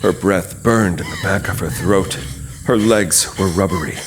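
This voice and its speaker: A man narrating an audio drama in a measured, suspenseful, dramatic storytelling manner. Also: low-pitched, background noise. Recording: long reverb, music behind.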